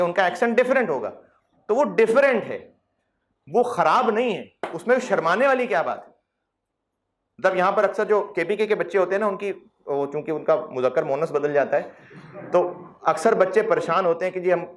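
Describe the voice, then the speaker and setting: A male accounting lecturer, talking to students in a classroom in a chatty, coaxing way. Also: on the high side. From Pakistan. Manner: conversational, coaxing, teasing with mock quotes.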